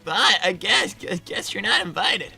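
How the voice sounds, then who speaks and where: A woman voicing a meek, awkward young boy fox from a cartoon, with a hesitant, defensive manner and a raspy boyish voice. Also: mid-range voice, measured pace, bright, forceful tone, faint music behind, exaggerated voice. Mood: meek, distracted. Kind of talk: improvised in-character gaming livestream banter.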